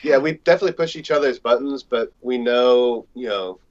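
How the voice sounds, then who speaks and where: A man's voice: medium pitch, fairly quick, highs cut off. A man, a band musician, talking casually and candidly in a group interview.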